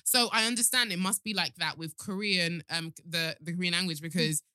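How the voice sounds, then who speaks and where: Chatty young woman, bright dry voice. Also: fairly low pitch, fairly even intonation, fairly quick, no echo. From Yoruba background. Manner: chatty, emphatic, addresses listeners directly. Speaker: podcast co-host. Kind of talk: casual chat.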